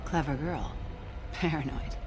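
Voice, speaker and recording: A woman's voice: sits low, unhurried. A woman playing a brusque, cocky special agent in a video game, speaking with wry authority. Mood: sardonic. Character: brusque, cocky female special agent investigating a crime scene. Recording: music behind the voice.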